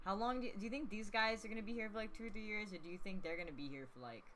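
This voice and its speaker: A woman's voice: mid-range voice, rapid-fire, a bit bright, slight background noise. A woman chatting casually and jokingly as she comments on a video game with friends. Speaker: let's play commentator.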